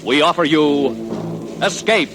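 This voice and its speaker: A man's voice: fairly high pitch, unhurried. A male radio announcer in a declamatory, polished 1940s broadcast style. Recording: old radio broadcast with music.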